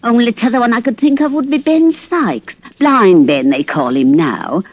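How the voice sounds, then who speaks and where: A woman's voice: on the high side, animated, moderate pace, dry recording. An actress playing a sly, gossipy older village woman, deferential yet knowing, in an old radio drama. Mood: cagey, gossipy. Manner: sly, folksy, drops hints. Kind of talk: golden-age radio drama acting. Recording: muffled vintage radio recording.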